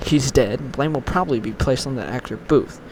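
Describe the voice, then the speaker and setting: A man's voice: medium pitch, fairly quick, background noise. A man reading a scripted online horror story as its narrator.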